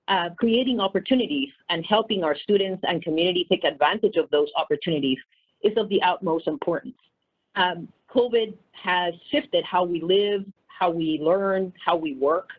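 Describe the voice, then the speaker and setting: Peruvian accented woman, middle aged, college leader on a video call, kinda lowish voice, sounds like a thin phone line. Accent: Peruvian Spanish. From Peru. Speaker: college vice chancellor. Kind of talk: virtual town hall remarks. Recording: tinny video-call audio.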